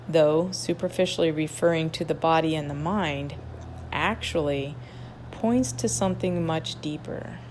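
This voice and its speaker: A woman guiding a meditation, reading instructions in an unhurried way with long pauses. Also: lowish voice, darkish tone. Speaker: meditation guide at a wellness retreat. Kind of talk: guided meditation, read aloud softly. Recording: clearly noisy ambient background.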